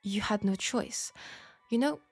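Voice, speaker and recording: A woman playing a flirtatious, caring Parisian seamstress in an intimate steampunk audio roleplay, speaking with a French accent and a soft, hesitant delivery. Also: medium pitch, a little slow, slightly rough, soft background music. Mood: curious, disbelieving. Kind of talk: intimate scripted audio roleplay addressed to the listener.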